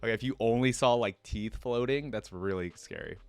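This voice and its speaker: A man's voice: medium pitch, brisk, a little mellow, clear, resonant tone, dry recording. A man hosting a reaction video, reading a fantasy story aloud and breaking off for casual comments.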